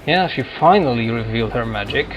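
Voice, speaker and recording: A man's voice: medium pitch, expressive, a little fast, low-quality recording. A man giving casual let's-play commentary as a video game player, musing aloud in an offhand manner. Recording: game music behind the voice. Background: video game music.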